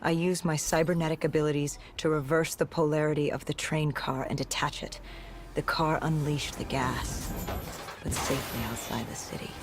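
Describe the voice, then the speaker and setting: An actor playing an augmented soldier in a video game, haunted and resolute, recounting past missions in grave, measured storytelling. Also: medium pitch, steady tone, close to an everyday voice. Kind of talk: dramatic game cutscene narration. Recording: music under the voice. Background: music.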